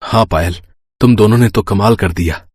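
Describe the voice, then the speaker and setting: A man playing a poor, caring village working man in a cartoon story, earnest and plaintive, with a husky voice. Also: fairly low pitch, moderate pace, darkish tone, no echo, studio quality. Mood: pleased. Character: poor, self-pitying, caring young rickshaw man.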